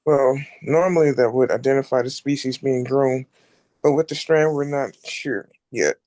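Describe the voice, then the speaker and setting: Man acting forty-ish scientist, matter-of-fact, explanatory, mid-pitched voice, close dry sound, table read drama. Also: normal speed, darkish tone, no room sound, close to an everyday voice. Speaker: amateur actor at a table read. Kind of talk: script read-through acting.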